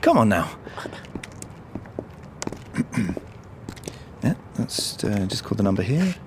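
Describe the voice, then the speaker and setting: Blustering British secret agent, animated. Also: male voice, lowish voice, slow, background noise, exaggerated voice. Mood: falsely agreeable. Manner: blustery, hesitant, repeats himself. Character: scheming, bumbling male British intelligence agent, blustering and patriotic. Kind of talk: comedic audio drama acting.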